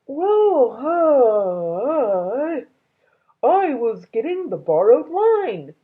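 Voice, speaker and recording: Lady doing a friendly goofy boy dragon from a kids book, kinda hesitant, pitched up, phoney thin sound. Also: big pitch swings, extremely slow, breathy edge, phone-quality sound, full character voice. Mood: flustered. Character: friendly, bumbling male dragon companion in a storybook.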